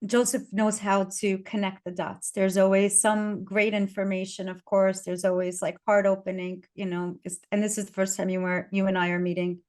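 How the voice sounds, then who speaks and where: A woman's voice: medium pitch, level tone, normal speed, few pauses. Chatty woman hosting. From Brooklyn, New York. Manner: chatty and warm, with filler words and laughter. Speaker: podcast host. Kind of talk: casual conversation.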